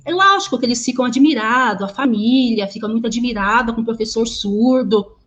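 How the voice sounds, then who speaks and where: A woman interpreting sign language into speech over a video call, halting, with many restarts and repeated words. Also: on the high side, a bit bright, lo-fi sound. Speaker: sign language interpreter voicing a deaf teacher.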